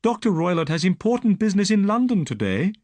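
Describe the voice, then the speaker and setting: A man's voice: high voice, very animated, quick pace, darkish tone, no room sound, pitched above the performer's own voice. A man voicing a frightened young woman who pleads for help. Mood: fearful. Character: frightened young woman telling her story.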